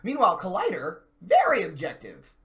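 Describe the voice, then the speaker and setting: A man's voice: sits high, huge pitch swings, moderate pace, a bit bright. A man co-hosting a casual review discussion, wordy and careful, with stop-start phrasing as he qualifies his points. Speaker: co-host of a video game and movie show.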